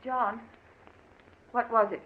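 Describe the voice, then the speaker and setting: A woman's voice: fairly high pitch, steady tone, slow pace. An actress playing an anxious, desperate doctor's wife and mother in melodramatic, pleading old-time radio mystery style. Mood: dread, pleading. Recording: muffled, noisy old radio recording.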